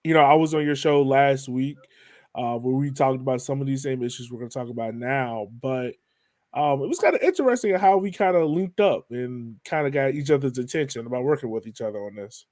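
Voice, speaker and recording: A man's voice: medium pitch, fairly quick, darkish tone, no room sound. A man hosts a podcast in a casual, chatty way, with a mid-range voice. He talks to his guest informally, like a friend, in a conversational interview style. The sound is very dry, with hardly any room to it.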